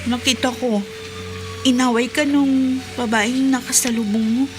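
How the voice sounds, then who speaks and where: A woman's voice: on the high side, fairly even intonation, a little slow, close to an everyday voice. An actress playing a curious, worried young woman in a radio drama, asking questions. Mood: concerned. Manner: inquisitive, worried. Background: background music.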